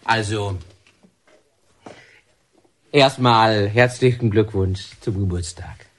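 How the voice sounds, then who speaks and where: A man's voice: lowish voice, slow, slight background noise. An actor playing an avuncular, well-meaning male warder, chatty and musing, in a naturalistic radio drama. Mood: congratulating, musing. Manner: hesitant, musing, conversational.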